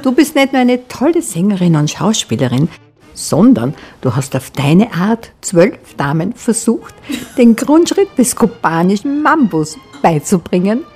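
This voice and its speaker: A woman's voice: mid-range voice, big pitch swings, unhurried, dark tone, breathy edge. A woman with an Austrian accent hosts a radio interview in a playful, colloquial way. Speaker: radio host. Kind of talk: lively casual interview. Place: radio broadcast. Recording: music behind the voice. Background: music.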